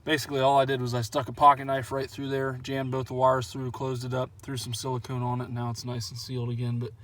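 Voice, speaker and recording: A man's voice: mid-range voice, fast talker. A man giving a casual, folksy how-to walkthrough of a truck install outdoors, with frequent "uh" fillers. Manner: relaxed, lots of "uh" fillers, folksy. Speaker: truck owner showing an install.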